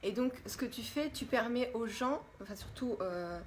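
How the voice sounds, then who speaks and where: A woman's voice: on the high side, lively intonation, moderate pace. A woman hosting a casual live-stream talk, chatty and greeting her viewers. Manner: chatty, warm greetings to viewers, many fillers. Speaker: host. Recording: faint background noise.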